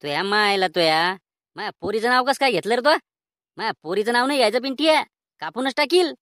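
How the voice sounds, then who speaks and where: A woman voicing a gruff male village schoolteacher in a comedy cartoon, scolding and threatening in coarse rural Maharashtrian speech. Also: a little high, expressive, brisk, a bit bright, no room sound, clean studio sound.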